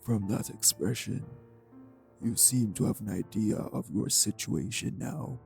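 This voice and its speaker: Man playing a gravelly, slow-spoken menacing vampire. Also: soft background music. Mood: cool, amused. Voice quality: gravelly, low. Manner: measured, condescending, formal. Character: menacing, urbane male vampire kidnapper, centuries-old. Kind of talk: audio roleplay drama acting.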